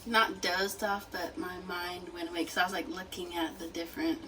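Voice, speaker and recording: A woman with a fairly low voice gives casual, conversational reactions as a co-host. She sits a little back from the mic, with faint noise in the room. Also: fairly even intonation, moderate pace, fairly bright tone, distant mic, low-quality recording.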